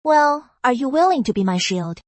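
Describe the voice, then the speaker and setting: Young woman, high voice, calm, teasing, dry. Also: normal speed, dry recording.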